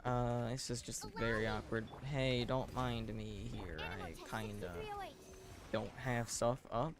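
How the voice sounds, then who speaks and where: A man giving casual live video game commentary with a dry, deadpan, self-deprecating manner. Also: medium pitch, slow.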